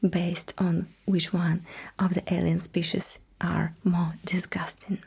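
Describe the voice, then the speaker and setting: A woman performing a sci-fi ASMR roleplay in a breathy whisper, as a courteous, dryly witty alien mecha technician with a measured manner. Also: fairly low pitch, level tone, mellow.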